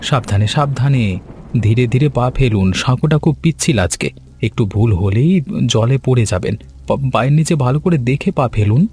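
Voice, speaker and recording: A man voicing a helpful, earnest village man in an animated story, careful and reassuring in his manner. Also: mid-range voice, fairly quick, faint music behind, lightly acted.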